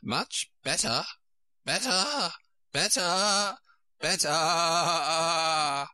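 A man voicing a flighty, bossy old queen in a storybook, with a squeaky, shrill voice and emphatic, drawn-out words. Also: bright, forceful tone, dry recording, heavily acted. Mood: triumphant. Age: older. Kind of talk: storybook character reading.

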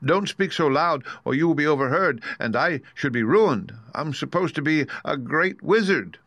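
Man putting on a trembling, high-pitched little old humbug wizard. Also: lively intonation, normal speed, a bit bright, dry recording. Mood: meek, confiding. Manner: meek, pleading, apologetic.

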